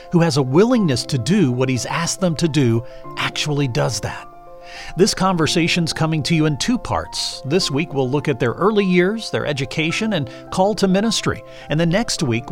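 A man hosting a faith interview podcast, earnest and reflective, drawing out key phrases. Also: on the high side. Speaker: host.

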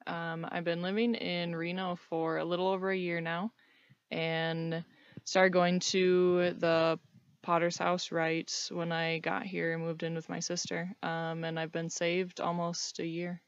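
A woman's voice: lowish voice, little pitch movement, measured pace, clean tone, dry recording, dull audio. Earnest thirty-year-old woman, dry sound. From North Dakota, USA. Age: 30s. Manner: earnest, with frequent "um"s. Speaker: podcast guest. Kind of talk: casual interview answers.

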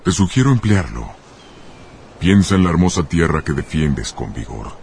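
An imperious god-king from a dubbed epic film, with an exceptionally low voice. He declaims pompously and deliberately, coaxing and threatening, over faint soundtrack noise. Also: sing-song, a little mellow, full character voice. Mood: veiled threat. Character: imperious male god-king, menacing, grandly persuasive. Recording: noisy film soundtrack clip.

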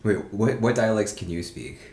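A man's voice: on the low side, moderate pace, a little mellow. A man hosting a casual chat, talking in a laid-back, rambling way with lots of fillers. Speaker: host. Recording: echoey room with faint noise.

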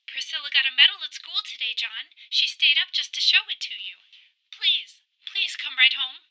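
Actress as worried devoted wife, very bright high voice, pleading, radio drama. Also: female voice, animated, normal speed, exaggerated voice, low-quality recording. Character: anxious, devoted wife pleading with her husband.

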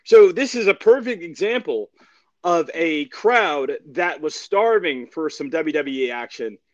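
A man co-hosting a wrestling podcast, chatting casually about sport in a halting way, repeating phrases as he searches for words. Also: on the high side, animated, no room sound, lo-fi sound. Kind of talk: casual sports recap chat.

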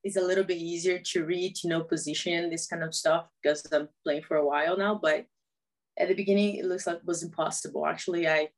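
A woman's voice: lowish voice, fairly even intonation, fast talker, low-quality recording. Brazilian-born woman speaking learner's English. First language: Portuguese. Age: 20s. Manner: non-native English with small grammar slips, laughs easily. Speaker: guest, professional footballer. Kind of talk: casual interview chat.

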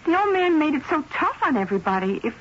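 A woman's voice: high-pitched, big pitch swings, quick pace. An actress playing a chatty, opinionated, gossipy woman who speaks colloquially in a radio drama. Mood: frank, gossipy. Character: gossipy, frank working-class woman, impatient with questions. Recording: muffled old radio broadcast.

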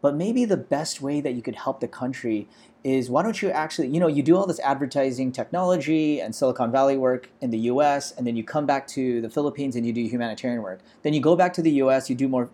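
An American man in his forties, a startup founder telling his story in a relaxed interview, full of "you know" and "uh". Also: mid-range voice, fast talker. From Los Angeles, USA.

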